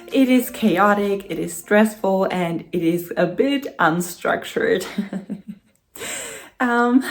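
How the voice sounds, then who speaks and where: A woman's voice: on the high side, a little mellow. A young German woman, a native German speaker, chatting in English in a rambling vlog from home, recorded on a phone and laughing often between phrases. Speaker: vlogger. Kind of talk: casual vlog chat. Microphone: phone camera.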